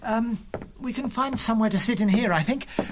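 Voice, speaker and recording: A man's voice: very high-pitched, a little fast, noisy background. An actor playing a timid, ineffectual English country clergyman in a radio drama, with a British accent and a hesitant, flustered, apologetic way of talking.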